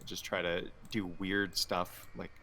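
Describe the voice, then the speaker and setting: A man's voice: low voice, flat delivery, takes it slowly, bright, forceful tone. A man co-hosting a gaming show, casually reminiscing and commenting during gameplay. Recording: faint noisy room ambience.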